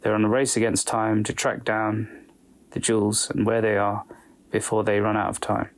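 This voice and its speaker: A man hosting a podcast, giving a semi-scripted introduction in a measured, informal way. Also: on the low side, monotone. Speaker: podcast host.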